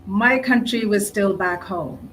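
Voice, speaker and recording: A woman's voice: mid-range voice, takes it slowly, fairly bright tone, slight background noise, rough recording. A woman who grew up in India, speaking earnestly and reflectively as a participant in a panel discussion.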